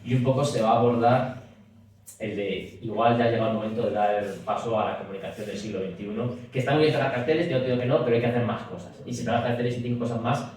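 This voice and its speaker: Fast-talking man in an echoey room. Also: medium pitch, a little mellow. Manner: rapid, talks in restarts with frequent "es decir". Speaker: speaker at a party talk. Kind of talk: public talk and Q&A. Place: meeting room at a party office. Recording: roomy echo, distant mic, faint hiss.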